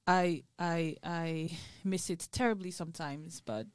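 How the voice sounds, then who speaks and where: A woman's voice: lowish voice, unhurried, smooth, no room sound. Young female podcast host from Nigeria, bubbly, chatty, dry close sound. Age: young adult. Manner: lively, laughing, frequent "like" fillers. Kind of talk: casual group chat.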